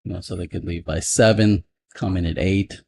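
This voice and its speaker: A man answering questions at a public planning board hearing, halting and restarting his sentences. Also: deep voice, steady tone, vocal fry, darkish tone, a little hiss or hum.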